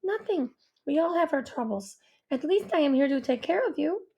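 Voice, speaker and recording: A woman voicing a warm, emotional middle-aged mother who speaks tenderly and tearfully. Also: high voice, animated, normal speed, vocal fry, muffled recording, pitched above the performer's own voice. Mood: sad tender. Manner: tender, tearful, Spanish endearments.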